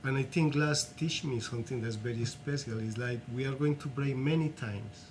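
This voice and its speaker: A man from Colombia with a Colombian accent, telling his story earnestly in halting non-native English as an interview guest. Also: mid-range voice, a little mellow, a little hiss or hum. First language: Spanish.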